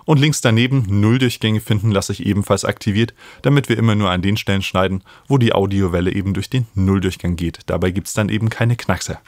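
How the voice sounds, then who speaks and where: Low-voiced man giving a tutorial, dry sound. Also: a little fast, hardly any pauses, a little mellow, dry recording. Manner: orderly, step-by-step explaining. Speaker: host and music production tutor. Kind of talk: tutorial explanation. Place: small home studio room, indoors. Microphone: studio microphone close on a stand.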